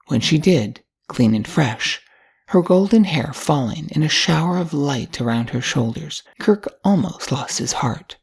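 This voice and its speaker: Low, gravelly mature woman narrator. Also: lively intonation, a little slow. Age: older. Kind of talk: scripted short story narration.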